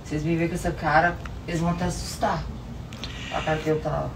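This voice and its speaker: A woman's voice: deep voice, little pitch movement, fast, reverberant, distant mic, noisy background. A young woman bantering playfully with her partner while getting ready at home. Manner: teasing, playfully annoyed banter. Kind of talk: casual phone video. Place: indoors, bedroom dressing area.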